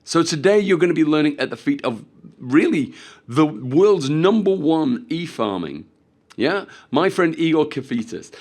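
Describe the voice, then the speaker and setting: A man hosting a live webinar, his voice a little croaky as he recovers from flu, talking to the audience in an upbeat, salesy way. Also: fairly high pitch, big pitch swings, measured pace, darkish tone. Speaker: webinar host.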